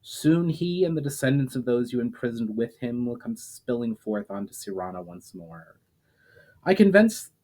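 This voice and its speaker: A man's voice: medium pitch, dark tone, natural voice, low-quality recording. A man reading a weary, resolute hero leader.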